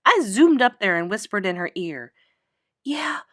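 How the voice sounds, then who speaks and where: A woman's voice: mid-range voice, big pitch swings, moderate pace, fairly bright tone, no echo, studio quality. A woman reading aloud a children's story, narrating expressively in a child's first person.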